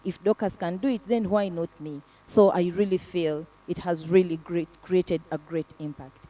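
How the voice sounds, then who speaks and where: A woman advocate giving earnest testimony about a program, speaking haltingly with fillers and restarts. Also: lowish voice, steady tone, dark tone, distant mic, low-quality recording. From Africa. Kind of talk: panel testimony.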